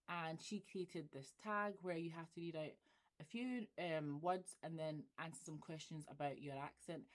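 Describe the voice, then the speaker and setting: A woman with a Glaswegian accent chatting casually on her channel, laughing often and playing with example phrases. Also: on the low side. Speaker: YouTuber. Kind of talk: casual vlog chat.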